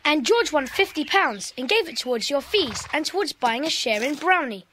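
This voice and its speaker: A woman's voice: high voice, lively intonation, normal speed, a bit bright, slight background noise. A woman playing an eager, adventurous young British schoolgirl in a children's audio drama. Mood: earnest.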